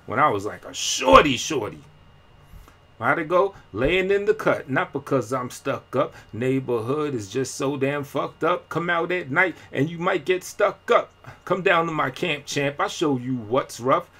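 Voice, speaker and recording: A man's voice: on the high side, animated, unhurried, sharp tone. Rambling, slangy male vlogger. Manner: rambling, slangy, frequent fillers and restarts. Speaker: independent rapper and vlogger. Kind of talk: casual live-stream talk.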